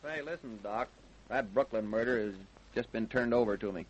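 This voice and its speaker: A man's voice: mid-range voice, normal speed, lightly acted, rough recording. A man playing a tough American city detective in an old-time radio crime drama. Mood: determined.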